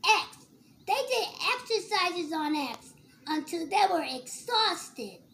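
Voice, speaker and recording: A child reading a picture book aloud in a slow sing-song way, letter by letter. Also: very high-pitched, very animated, pushed and bright, slight background noise.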